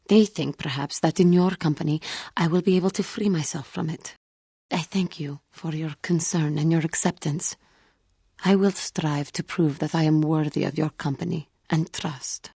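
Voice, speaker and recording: An actress playing a proud but guilt-haunted female feline alien warrior in a video game, speaking formally and earnestly, with halting care. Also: sits low, darkish tone, slightly rough, no room sound, studio quality. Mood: troubled, earnest. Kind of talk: scripted video game character dialogue.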